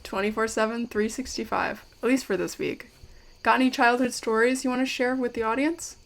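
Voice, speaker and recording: An actress playing a young, curious documentary maker who is polite, wry and playful, in an audio drama. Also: female voice, on the high side, fairly even intonation, fairly quick, gravelly. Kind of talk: audio drama acting with narration.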